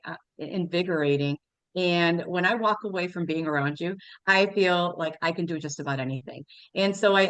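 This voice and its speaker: A woman's voice: fairly low pitch, no room sound, low-quality recording. Effusive woman podcast host. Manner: enthusiastic, effusive praise. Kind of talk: interview questions.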